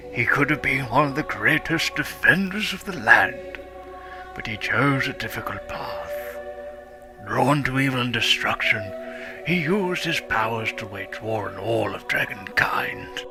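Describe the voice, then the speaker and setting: A man voicing a wise old male fire dragon mentor, telling the legend in a solemn, measured way, with music underneath. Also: mid-range voice, lively intonation, gravelly. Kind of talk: dramatic script reading.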